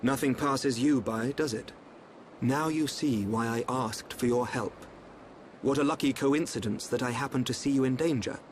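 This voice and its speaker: A calm young royal seer from a fantasy game, acted by a man, speaks in a mid-pitched voice. He is formal and measured, choosing cryptic words as though he knows more than he tells. A faint noise sits behind him. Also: lightly acted. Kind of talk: scripted video game cutscene acting.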